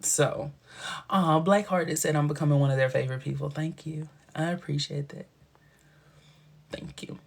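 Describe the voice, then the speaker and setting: A woman's voice: low-pitched, fairly even intonation, moderate pace, creaky, pauses often. A woman in her thirties hosting a casual livestream, chatting in playful, affectionate slang with viewers and a guest. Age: 30s. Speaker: livestream host.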